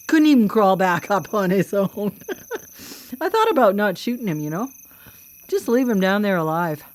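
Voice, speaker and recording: An actress in a live radio drama playing a vengeful female vigilante killer, mocking, cocky and coolly menacing. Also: mid-range voice. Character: vengeful, taunting female vigilante killer who hunts predators.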